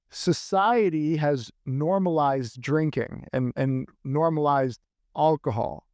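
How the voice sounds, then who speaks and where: A man being interviewed as a fitness coach, answering earnestly and thinking aloud in measured phrases. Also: on the high side, darkish tone, no room sound, studio quality.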